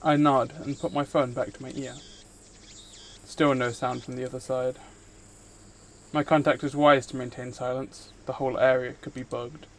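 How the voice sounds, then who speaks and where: A man's voice: mid-range voice, level tone, normal speed, slight background noise. A man live streaming, reading visual novel narration aloud and chatting casually with viewers in between. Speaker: streamer, VTuber host.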